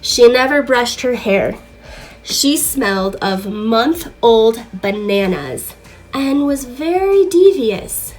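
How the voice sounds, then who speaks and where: A woman reading a children's book aloud in an expressive, deliberate, theatrical storytelling manner. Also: a little high, soft background music, poor audio.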